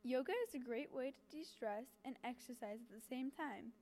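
A woman introduces the next news segment, upbeat and crisp. Her voice sits high. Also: moderate pace, darkish tone. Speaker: news anchor.

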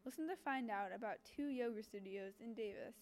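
A woman introduces the next news segment, upbeat and crisp. Her voice sits high. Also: fairly quick, a little mellow. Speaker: news anchor.

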